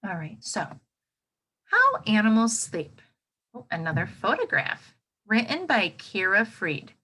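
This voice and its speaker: Bright, animated woman teaching young children. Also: mid-range voice, measured pace, breathy edge, rough recording. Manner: expressive, instructive, checks in with "right?". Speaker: elementary teacher. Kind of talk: read-aloud lesson for young children.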